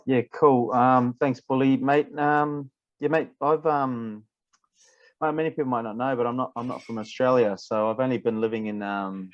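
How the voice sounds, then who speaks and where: A man from Fiji, a rugby coach chatting as a podcast guest, relaxed and repeating words as he thinks. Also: medium pitch, moderate pace, dry recording, lo-fi sound. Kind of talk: casual interview chat.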